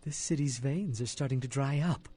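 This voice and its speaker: An actor playing a sardonic, shady blood dealer in a video game, quiet and breathy. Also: male voice, medium pitch, normal speed, lightly acted. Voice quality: husky, breathy.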